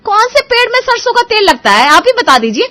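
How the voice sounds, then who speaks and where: A woman voicing a sharp-tongued, scheming young daughter-in-law in a cartoon moral story, sarcastic and emphatic. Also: very high-pitched, sing-song, brisk, voice pushed up, heavily acted. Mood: indignant, exasperated. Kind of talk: animated Hindi moral story dialogue.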